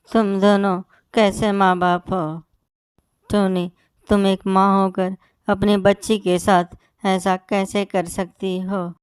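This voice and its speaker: A kindly old grandmother bird, played by a woman, speaks slowly in a clear, resonant voice. She is protective and gently reproachful, in a cartoon moral tale. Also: mid-range voice, no echo, studio quality, natural voice. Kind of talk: Hindi moral-story cartoon dub.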